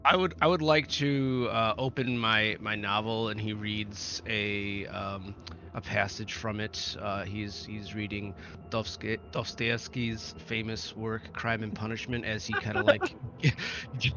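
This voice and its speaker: A man playing a tabletop roleplaying game, casually describing his character's actions in a halting, piecemeal way. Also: lowish voice, steady tone, noisy background. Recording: slightly dull, lo-fi microphone.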